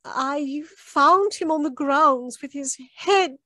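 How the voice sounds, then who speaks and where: A woman playing a polite, anxious young gentlewoman in a radio mystery, speaking in a measured, hesitant way. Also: high-pitched, no echo, full character voice. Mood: troubled. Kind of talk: old-time radio mystery acting.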